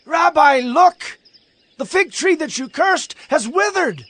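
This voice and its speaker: A man's voice: extremely high pitch, animated, slow, fairly bright tone, no echo, exaggerated voice. An actor playing a fervent male follower in a dramatized scripture reading, exclaiming in a declamatory way. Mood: astonished. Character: loud, fervent male follower hailing his master. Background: crowd and faint music.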